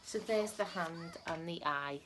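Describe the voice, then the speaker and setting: A woman reading a children's story aloud like a teacher, in a dramatic, deliberate storytelling manner. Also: lowish voice, slight background noise. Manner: dramatic, deliberate storytelling with emphatic repetition and hushed phrases.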